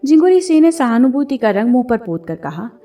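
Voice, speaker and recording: A woman giving an expressive, scripted narration of a classic story, like an audiobook reader. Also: on the high side, brisk, far from the mic. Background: background music.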